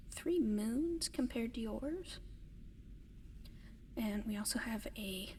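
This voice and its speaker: Girl doing a sweet eager alien lady asmr thing, whispery n halting, really slow, big echoey reverb. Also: medium pitch. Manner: soft, hesitant, breathy whispering with halting pauses. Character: gentle, eager giant female alien adopting a human pet. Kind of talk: whispered ASMR roleplay to the listener. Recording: long reverb, audible background noise.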